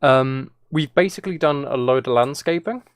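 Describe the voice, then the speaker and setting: A man streaming a game, chatting casually and laughing often, his voice a little hoarse. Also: mid-range voice, brisk, dry recording. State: slightly hoarse from recording. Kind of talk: casual live-stream chat while playing.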